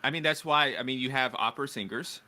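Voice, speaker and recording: A man hosting an interview, talking in a relaxed and agreeable way. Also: medium pitch, fast. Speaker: host. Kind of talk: casual interview conversation.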